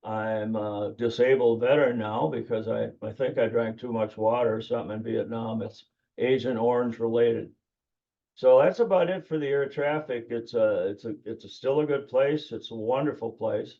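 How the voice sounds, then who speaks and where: Older american guy in his 70s, middle pitch, rambling through memories plainly, kinda dull lo-fi sound. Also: moderate pace. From United States. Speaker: interview guest, retired air traffic controller. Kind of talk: informal personal recollection. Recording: dull, muffled lo-fi recording.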